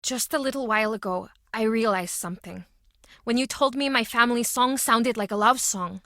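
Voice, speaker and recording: A woman's voice: fairly high pitch, normal speed, sharp tone, no room sound, clean studio sound. An actress playing a guarded, heartbroken townswoman with an earnest, emotional delivery in a mystery video game. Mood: wistful, subdued. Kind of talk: dramatic video game voice acting.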